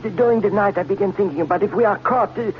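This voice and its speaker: An actor playing a nervous, timid count with a French accent, hesitant, stammering and polite, in an old-time radio drama. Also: male voice, high voice, fast, soft background music, close to an everyday voice. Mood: anxious. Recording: muffled, noisy vintage radio with hum.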